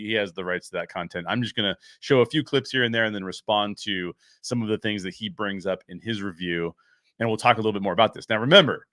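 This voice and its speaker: A man hosts a casual commentary show on his own. His voice is medium in pitch with a bright, forward edge, recorded very dry. He speaks emphatically and likes to repeat a phrase to stress it. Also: no echo. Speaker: show host and story writer.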